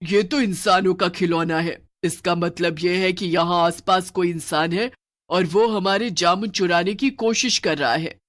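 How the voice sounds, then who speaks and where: A woman's voice: fairly low pitch, unhurried, no echo, studio quality, lightly acted. A woman voicing a suspicious witch in a cartoon horror story, declaring her lines. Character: suspicious female witch guarding her fruit tree.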